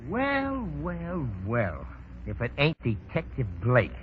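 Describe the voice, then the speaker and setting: A man's voice: on the high side, wildly swooping pitch, slow pace, breathy edge, exaggerated voice. A man playing a sneering, vengeful American ex-convict in 1930s radio style, slangy and taunting. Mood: mocking. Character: sneering, vengeful male ex-convict thug, mocking. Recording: dull lo-fi 1930s radio recording.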